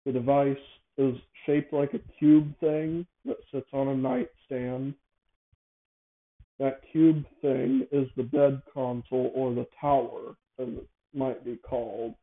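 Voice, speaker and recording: Man on thin, phone-like audio, halting delivery. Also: mid-range voice, steady tone. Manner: halting, self-correcting, repetitive phrasing. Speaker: show host. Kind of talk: casual improvised monologue, explaining a device idea.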